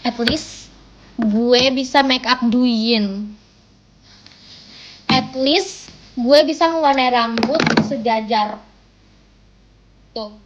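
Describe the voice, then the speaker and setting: A woman's voice: on the high side, extremely slow, long pauses, background noise. Playful young woman on a lo-fi stream. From Indonesia. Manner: playful, teasing, self-mocking banter. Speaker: livestreamer. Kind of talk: casual chat. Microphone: phone mic. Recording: dull lo-fi phone stream audio.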